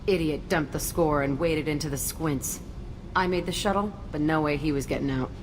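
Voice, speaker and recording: An actress plays a hard-edged young woman outlaw in a sci-fi game. Her voice sits low, and she speaks bluntly, with bitter, guarded defensiveness. There is plenty of noise behind her. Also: normal speed, lightly acted. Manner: blunt, foul-mouthed, defensive. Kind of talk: video game dialogue. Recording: loud background noise, game ambience.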